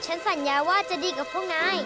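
A performer voicing an eager, friendly young boy superhero in a children's cartoon, energetic and earnest. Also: very high-pitched, quick pace. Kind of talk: Thai-dubbed children's cartoon acting. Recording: music behind the voice.

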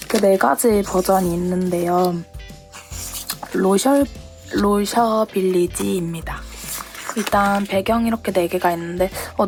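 A woman with a cold, her voice a little rough, chatting casually as she works on a paper craft project and thinking aloud. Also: mid-range voice. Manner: chatty, thinks aloud, asks herself questions, soft asides. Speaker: stationery craft vlogger. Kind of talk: casual narration while crafting.

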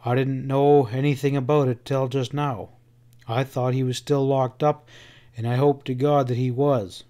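A man voicing a grave, wounded cowboy in a Western audiobook, quiet and plain-spoken. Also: mid-range voice, little pitch movement, normal speed, close to an everyday voice. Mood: quiet, bitter. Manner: quiet, rustic Western speech.

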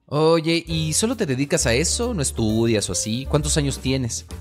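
A Mexican man hosts a comedy podcast in a slangy, conversational way. His pitch is mid-range and lively, with music clearly playing behind him. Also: moderate pace. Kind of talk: conversational humorous monologue with slang.

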